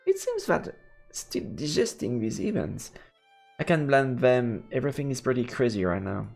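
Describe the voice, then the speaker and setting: A man's voice: mid-range voice, wildly swooping pitch, moderate pace, vocal fry, a little mellow. A man reading a visual novel aloud on a live stream in a playful way, with stammered asides. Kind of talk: live let's play, reading narration with reactions. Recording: background music under the voice. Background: game music.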